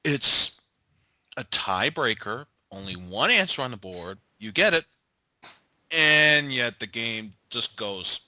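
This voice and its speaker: A man's voice: mid-range voice, lively intonation, slow, pushed and bright, dry recording. A man chatting casually with friends and telling gaming anecdotes. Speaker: member of a gaming group.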